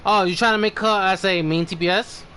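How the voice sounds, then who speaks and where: A man hosting a game livestream in casual chat, thinking aloud in a halting way. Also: sits high, fairly even intonation, pushed and bright, slight background noise.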